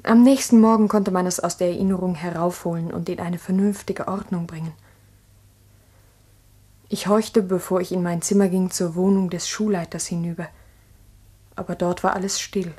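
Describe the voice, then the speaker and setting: An actress playing a lonely village schoolteacher, narrating in the first person in a 1960s radio play, earnest and introspective. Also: female voice, on the low side, fairly even intonation, normal speed, dark tone, slightly rough. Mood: reflective. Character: lonely, brooding village schoolteacher recounting her night. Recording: 1960s mono radio recording.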